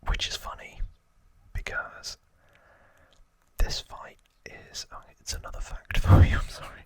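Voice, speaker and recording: A man hosting ASMR, whispering informal trivia narration in a hushed, rambling way with casual asides. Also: unhurried, no echo. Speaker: ASMR host.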